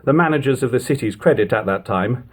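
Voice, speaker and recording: Man reading, lively rise and fall. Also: mid-range voice, a little fast, slightly rough. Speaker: audiobook reader. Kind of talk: reading aloud an old historical narrative. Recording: lo-fi amateur home recording.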